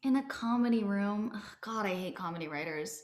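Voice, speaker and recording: A woman's voice: mid-range voice, brisk, close to an everyday voice. A woman playing a blunt, exasperated thirty-year-old ex-girlfriend at a casual comedy table read.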